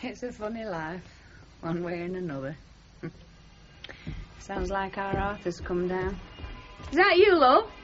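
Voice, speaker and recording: An actress playing a warm, protective, fussing middle-aged working-class mother with a Northern English accent in a 1970s radio drama. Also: mid-range voice, lively intonation, measured pace, pauses often, faint music behind. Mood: fond, fussing. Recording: dull old radio recording.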